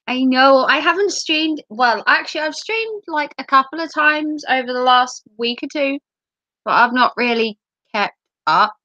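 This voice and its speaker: Woman from the UK, sick and wheezy, rambling livestream chat, bright voice, dry dull lo-fi mic. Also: high-pitched, lively intonation, unhurried, dry recording. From United Kingdom. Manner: rambling, self-mocking jokes, many ums and throat clearing. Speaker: livestream host. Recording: dull lo-fi sound.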